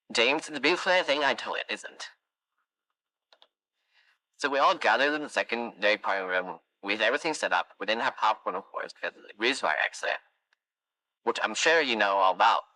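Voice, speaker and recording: A rambling animatronic, voiced by a man, spins a meandering anecdote in a game. The voice is bright and forceful, sitting at an everyday pitch. It is recorded dry and close, with no room sound. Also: dry recording, rough recording. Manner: long-winded, wandering anecdotes, dry musings. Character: chatty rambling animatronic, wistful storyteller. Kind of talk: fan game voice lines.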